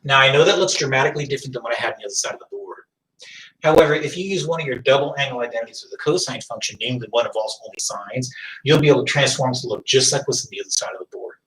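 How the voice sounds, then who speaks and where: A math teacher whose first language is French, lecturing a class and thinking aloud, often stopping to rephrase. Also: male voice, mid-range voice, very fast, fairly bright tone. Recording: echoey room, distant mic.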